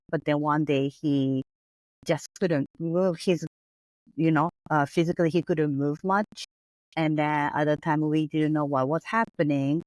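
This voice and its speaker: Japanese-accented woman, thoughtful and halting. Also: deep voice, no echo, rough recording. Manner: thoughtful, many fillers and restarts. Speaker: therapist guest. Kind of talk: podcast interview.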